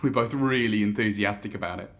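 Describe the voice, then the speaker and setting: A man's voice: lowish voice, fast talker, fairly bright tone. A young male actor in an audio drama playing an inquisitive, eager would-be manager of influencers, casual and questioning. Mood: keen, sheepish.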